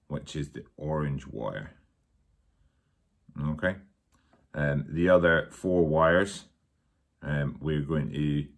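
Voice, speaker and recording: A man with an extremely low voice talks through a DIY job at home. His delivery is informal and matter-of-fact, with a halting, hesitant way of putting sentences together. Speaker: DIY workshop presenter. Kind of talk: informal hands-on electronics tutorial. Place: indoors at a dining table at home.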